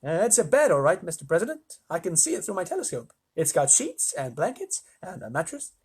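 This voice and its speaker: Man reading as a deferential, high-pitched astronaut. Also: huge pitch swings, a little fast, breathy edge, exaggerated voice. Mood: hesitant. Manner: polite, hesitant. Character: deferential, earnest male astronaut Showler.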